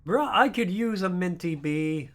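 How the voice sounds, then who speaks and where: A hoarse man streaming a video game, joking and rambling casually. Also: high voice, lively intonation, unhurried. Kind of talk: casual gaming stream chat.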